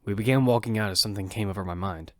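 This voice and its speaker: A man's voice: lowish voice, rapid-fire, fairly bright tone, dry recording, clean studio sound. A man narrating a first-person action drama as its protagonist, in an earnest storytelling manner.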